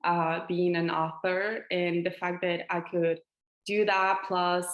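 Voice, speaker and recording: A woman's voice: lowish voice, flat delivery, slow pace, roomy sound. A Chilean woman in her twenties, a native Spanish speaker, answering interview questions casually with many fillers and restarts. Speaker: author guest.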